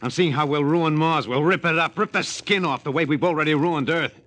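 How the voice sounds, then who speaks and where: A man's voice: a little high, a little fast, fairly bright tone, close to an everyday voice. An actor playing an intense, idealistic, indignant spaceman in a 1950s radio drama, speaking with eloquent anguish. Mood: bitter, brooding. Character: idealistic, anguished spaceman mourning a dead Martian civilization. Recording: noisy old radio recording.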